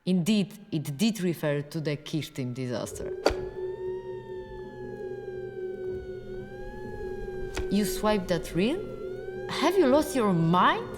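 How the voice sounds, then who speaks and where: A woman's voice: lowish voice, very animated, a little slow, in a large hall, very noisy. An actress playing a frank, wary Soviet woman scientist in a video game. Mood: alarmed. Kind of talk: video game voice acting, cutscene dialogue.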